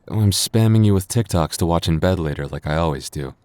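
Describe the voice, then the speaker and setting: A man's voice: deep voice, a little fast, a little mellow, no room sound, lightly acted. A man playing a flustered young man with a secret crush in an intimate boyfriend ASMR roleplay, stammering and getting defensive. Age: young adult.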